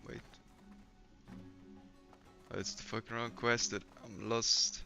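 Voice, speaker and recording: A man's voice: mid-range voice, level tone, slow pace, gravelly, sharp tone. Man, from Poland, laid-back gaming chatter, frequent swearing, faint music behind. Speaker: streamer. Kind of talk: casual live-stream chat while gaming. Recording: slightly dull lo-fi stream audio.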